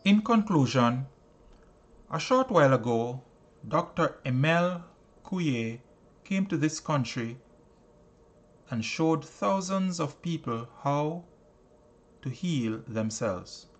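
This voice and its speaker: A man narrating a self-help book in a solemn, deliberate, instructive way. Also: a little high, animated. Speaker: audiobook narrator.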